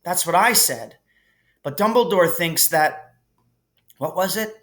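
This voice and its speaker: A man's voice: high voice, animated, slow pace, a bit bright, heavily acted. A man voicing an earnest, curious schoolboy hero in a thoughtful way.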